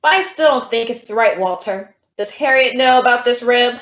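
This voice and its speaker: Actress as witty female teacher, deadpan sarcasm, radio sitcom, muffled and echoey recording. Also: fairly high pitch, normal speed, noisy background, close to an everyday voice. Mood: dry, questioning. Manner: dry, sardonic. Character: wry, quick-witted woman schoolteacher. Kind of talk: old-time radio comedy acting. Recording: echoey room, dull audio.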